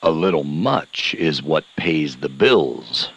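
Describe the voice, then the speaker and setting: An actor playing a grandiose, touchy late-night radio announcer with dramatic, theatrical phrasing. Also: male voice, very deep voice, animated, creaky, husky, no echo, highs cut off, heavily acted. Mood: haughty, offended. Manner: pompous, drawn-out dramatic phrasing.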